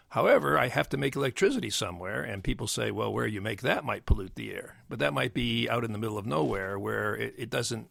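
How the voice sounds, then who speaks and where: An older man with a bass voice, explaining as an interview guest in a halting way with many fillers and restarts. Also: fairly bright tone, no echo, clean studio sound. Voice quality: gravelly bass voice. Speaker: guest, nuclear engineer. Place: dry podcast studio. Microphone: studio mic.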